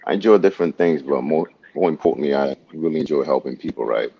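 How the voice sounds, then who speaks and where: A man from Honduras with a very deep voice talks upbeat and often tags his points with "right?". The audio is dull and lo-fi. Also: fast, creaky. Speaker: guest, military medical officer. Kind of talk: casual interview answers.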